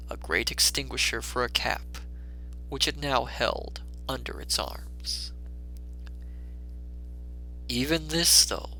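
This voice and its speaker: A man reads a classic tale as a dramatic audiobook narrator. His voice sits at a medium pitch and has a very bright, forceful, sharp edge. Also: slight background noise, rough recording.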